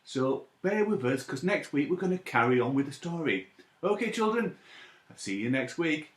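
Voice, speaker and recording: A man chatting playfully and excitably to children as a story time presenter, asking them questions, in an echoey room. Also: on the high side, moderate pace. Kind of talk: playful chat addressed to children.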